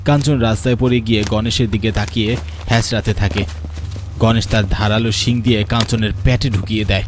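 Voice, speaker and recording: A man's voice: on the low side, steady tone, brisk, noisy background. A man narrating a scripted horror cartoon story in a dramatic storytelling style.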